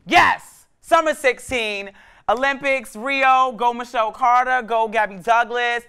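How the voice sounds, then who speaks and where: A man's voice: very high-pitched, a little slow, sharp tone, slight background noise. A young man co-hosting a studio talk show, talking in a flamboyant, exclamatory and sassy way. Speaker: co-host.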